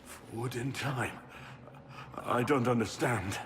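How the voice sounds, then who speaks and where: An actor playing a broken, devout prisoner in a video game, weary, dazed and despairing. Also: male voice, medium pitch, slow pace, a bit bright, long reverb tail, a little hiss or hum. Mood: dazed, disbelieving. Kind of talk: video game cutscene acting.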